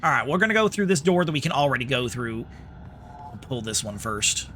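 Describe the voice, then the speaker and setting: A man's voice: mid-range voice, big pitch swings, brisk, sharp tone, a little hiss or hum. A man from New York with an American accent giving casual, sarcastic, sweary gameplay commentary. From New York, United States. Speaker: game streamer.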